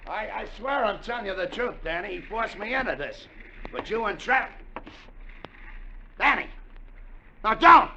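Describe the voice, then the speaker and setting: Cornered pleading crewman, urgent, super high voice, old radio, dull noisy recording. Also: expressive, a little slow, pauses often. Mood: frightened pleading. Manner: urgent, wheedling. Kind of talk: 1950s radio drama acting.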